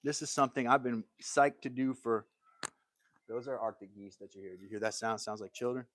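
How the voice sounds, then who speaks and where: A man's voice: mid-range voice, fairly even intonation, brisk, a bit bright, dry recording. A man hosting a casual woodworking livestream from his workshop, talking in an easygoing, rambling way and answering viewer comments. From United States.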